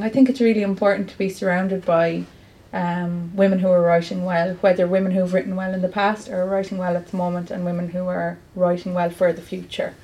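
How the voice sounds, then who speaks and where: A woman's voice: fairly low pitch, darkish tone, rough recording. An Irish woman from Clare, a poet, answering earnestly at a live bookshop interview. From County Clare, Ireland. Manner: earnest, reflective, with many fillers. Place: bookshop event.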